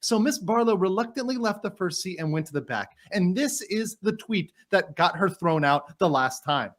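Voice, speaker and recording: A man's voice: high voice, expressive, normal speed. A man doing a dramatic reading as an indignant, courteous trial lawyer, formal and emphatic as he argues to a judge. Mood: insistent.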